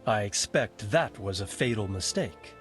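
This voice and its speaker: An expressive ageing medieval lord. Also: male voice, fairly low pitch, soft background music. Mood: brisk, commanding. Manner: measured, authoritative, wry. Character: ageing medieval nobleman, practical, wry, commanding lord. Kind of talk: video game cutscene acting.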